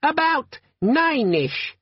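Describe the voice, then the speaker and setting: High squeaky pocket demon, petulant know-it-all; dull, muffled audiobook sound. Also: male voice, big pitch swings, drawn-out words, no room sound, exaggerated voice. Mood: chirpy. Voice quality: squeaky, cheerful, nagging. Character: squeaky, sulky pocket demon organizer gadget.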